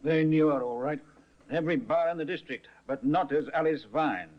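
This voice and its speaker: An actor playing a dutiful, matter-of-fact police sergeant in an old radio drama. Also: male voice, on the high side, moderate pace, lightly acted. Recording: muffled old radio broadcast.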